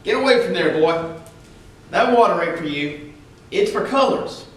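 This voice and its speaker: A man's voice: high voice, animated, normal speed. A man voicing a harsh, bossy policeman in a read-aloud storybook, barking orders. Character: harsh grown male policeman, gruff and bossy. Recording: echoey room, distant mic, faint hiss.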